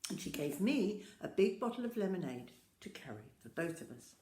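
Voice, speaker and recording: A woman's voice: fairly low pitch, very animated, moderate pace, dark tone. An older woman reading a children's story aloud, speaking directly and expressively to young listeners. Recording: roomy, echoing home-style recording.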